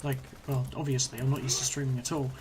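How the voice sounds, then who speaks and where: A man at his home computer streaming a game, talking on and off in a loose, hesitant ramble full of fillers and half-finished sentences. His voice sits at a middle pitch and sounds a little strained from hours of talking. A faint hiss runs under the desk mic. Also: level tone, fast talker. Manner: hesitant, filler-heavy, trails off mid-sentence. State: voice a bit strained from long talking. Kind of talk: casual rambling chat while gaming.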